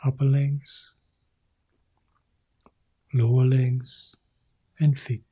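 A male monk guiding a meditation in soft, short phrases that often sink into a whisper. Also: medium pitch, flat delivery, drawn-out words, breathy edge. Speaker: Buddhist monk leading meditation. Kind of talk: guided meditation.

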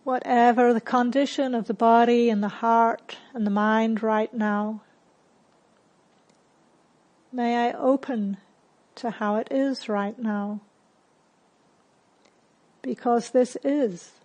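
Slow, higher-pitched woman guiding meditation. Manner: calm, unhurried, gently instructing with long pauses. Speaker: meditation teacher. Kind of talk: guided meditation.

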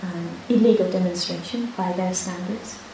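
Brief: Hebrew-speaking woman, meditation teacher, halting, reflective delivery; boomy retreat-hall recording. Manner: soft-spoken, unhurried, with many pauses and restarts. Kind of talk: dharma talk with personal story. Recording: echoey hall, faint hiss.

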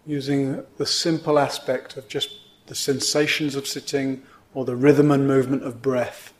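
A man with a medium-pitched voice leads a guided meditation as its teacher. He gives gentle instructions in measured phrases. Pauses sit between the phrases, leaving space after each one.

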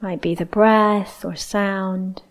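A woman leading a guided meditation as a teacher, speaking in a gentle, unhurried way with long pauses. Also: medium pitch, fairly even intonation.

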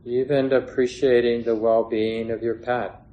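A man leading a guided meditation as a teacher, speaking gently in short phrases with long pauses between them. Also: medium pitch, flat delivery, muffled recording. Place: meditation hall with a gathered group. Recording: clear background noise, room ambience.

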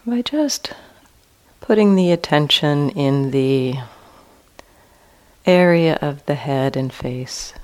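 Woman, meditation teacher, very slow pace, calm and gentle, long pauses, guided relaxation instruction. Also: low-pitched.

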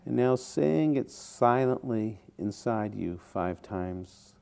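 A man teaching a guided meditation in short, deliberate phrases with pauses between them. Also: fairly low pitch, lively intonation, vocal fry, warm tone.

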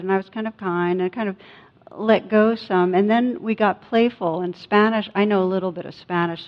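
A woman giving a meditation talk as a teacher, speaking in a reflective, conversational and gently instructive way, with personal anecdotes. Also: on the low side. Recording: dull, muffled lo-fi sound.

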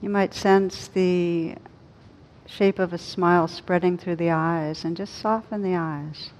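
Woman, meditation teacher, flat steady intonation, gentle and unhurried, long pauses, rumbling noisy recording. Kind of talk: slow guided meditation. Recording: dull lo-fi recording with rumbling background noise.

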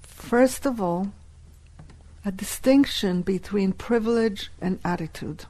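A woman whose first language is Hebrew, teaching a live workshop and deliberately repeating key phrases. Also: mid-range voice, mellow, rough recording.

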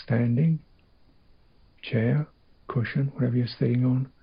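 Gravelly husky guy teaching meditation, halting lil phrases w long pauses, bit muffled recording. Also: mid-range voice, fairly even intonation. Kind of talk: guided meditation.

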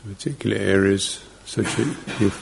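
A man teaching guided meditation, speaking in short, gentle fragments with long pauses between them. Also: on the low side, creaky, noisy background.

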